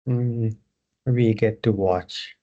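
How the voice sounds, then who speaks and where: A man teaching yoga, guiding a meditation in short phrases with long pauses between them. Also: on the low side, fairly even intonation, warm tone, muffled recording. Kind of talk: guided meditation instruction.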